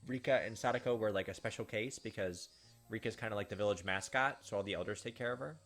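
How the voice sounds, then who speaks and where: A let's play host reads a visual novel aloud at a brisk pace, slipping into casual, sympathetic reactions. Soft game music plays underneath. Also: mid-range voice.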